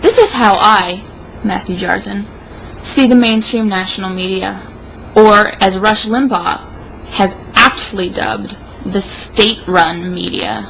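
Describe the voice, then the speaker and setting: Casting: woman, gravelly fry in a mid-pitched voice, tipsy mock-serious read-aloud with drawn-out emphasis. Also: a bit bright, slight background noise, poor audio. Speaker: show host reading an opinion article aloud. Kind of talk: theatrical read-aloud with mocking asides.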